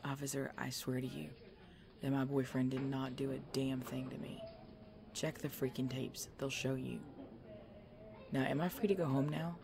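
A woman playing a tough, weary, injured biker girlfriend in an ASMR roleplay audio drama, speaking haltingly and protective of her boyfriend. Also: a bass voice, level tone, vocal fry, noisy background. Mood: exasperated.

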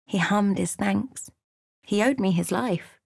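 A woman narrating a fantasy game as a solemn storyteller, in a measured, dramatic manner. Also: mid-range voice, creaky, a little mellow, husky, no echo, clean studio sound, heavily acted.

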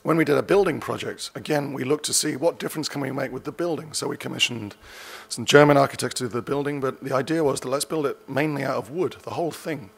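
A man's voice: medium pitch, fast talker, creaky, husky. A man in his forties giving a conference keynote from a lectern, conversational and wry, often correcting himself mid-sentence. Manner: conversational, self-correcting, dryly wry asides. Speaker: keynote speaker. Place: conference stage. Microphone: lectern mic.

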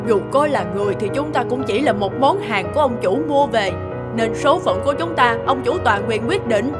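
A woman's voice: on the high side, lively intonation, normal speed, lightly acted. Actress playing a resigned, sorrowful enslaved woman. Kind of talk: cartoon dubbing. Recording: loud piano music over voice.

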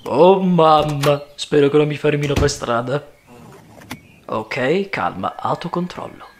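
A man's voice: a little high, takes it slowly, slight background noise. A man voicing an awkward, timid Death in a cartoon, muttering hesitantly to himself. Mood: nervous, casual. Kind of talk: adult cartoon dub.

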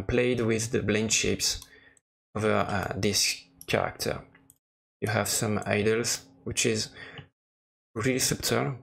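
Slow, gravelly man demonstrating software. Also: mid-range voice. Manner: hesitant, searching for words. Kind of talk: casual screen-recorded tutorial narration. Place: voice-over for a screen recording.

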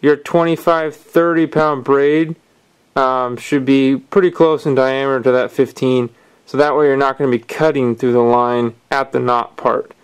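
Man calmly walking through knot tying. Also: mid-range voice, moderate pace, smooth. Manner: calm step-by-step explaining while tying. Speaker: fishing instructor. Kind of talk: hands-on knot-tying tutorial. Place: indoors at a wooden table.

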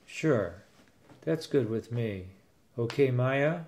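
A man's voice: medium pitch, expressive, slow, a little mellow, a little hiss or hum. A man in his sixties narrating a first-person story and acting out its dialogue as a grandfather.

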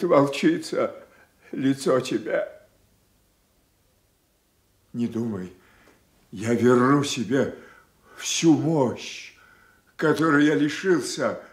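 A wrathful, slow-speaking old king. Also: medium pitch. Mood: wounded menace. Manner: declamatory, swinging from hushed grief to thunderous shouting. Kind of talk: classical stage tragedy acting in a radio play. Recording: echoey Soviet radio theatre recording.

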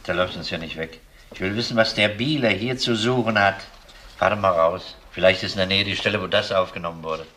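Low-voiced seasoned detective. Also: male voice, fairly quick, pushed and bright. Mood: probing. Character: seasoned male homicide detective in charge, matter-of-fact. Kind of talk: German crime radio play acting.

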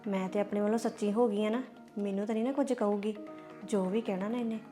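An actress with a Punjabi accent playing a young sister-in-law stuck in a family quarrel. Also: female voice, mid-range voice, steady tone, fast talker, mellow, faint music behind, natural voice. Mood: scheming. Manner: pleading, placating.

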